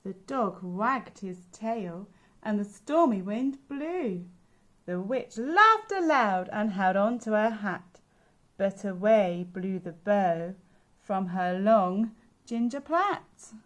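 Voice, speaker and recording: A woman childminder reading a picture book aloud to children in an expressive sing-song way. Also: mid-range voice, takes it slowly.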